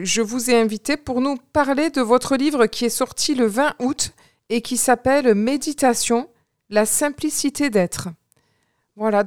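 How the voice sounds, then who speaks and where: Smooth higher-voiced woman radio host. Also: no room sound, studio quality. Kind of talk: radio interview.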